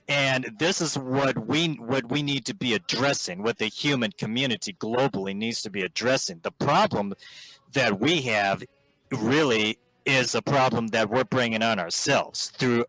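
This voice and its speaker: Halting, bright-voiced man debating. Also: medium pitch, no echo, rough recording. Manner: halting, many restarts and ums. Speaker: vegan activist. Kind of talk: debating on a voice call. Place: online voice chat.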